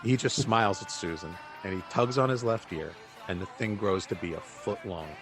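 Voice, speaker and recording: Dry, sardonic laid-back buddy. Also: male voice, fairly low pitch, a bit bright, faint music behind. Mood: joking. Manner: dry, halting, teasing. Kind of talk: naturalistic audio drama dialogue.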